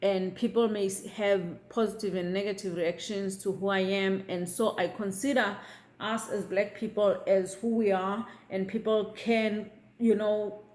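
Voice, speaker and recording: A middle-aged woman from Africa, giving her views in a casual vlog. She talks in a measured way and often checks in with viewers by asking "okay?". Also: mid-range voice, steady tone, darkish tone. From South Africa. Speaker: vlogger.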